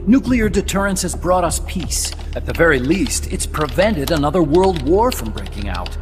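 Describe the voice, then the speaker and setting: An eager, talkative man of science in a video game cutscene, with a fairly high-pitched voice. He lectures emphatically about his machines. His words ring through a long reverberant space over prominent music. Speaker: weapons engineer character. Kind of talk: dramatic game cutscene dialogue. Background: prominent background music.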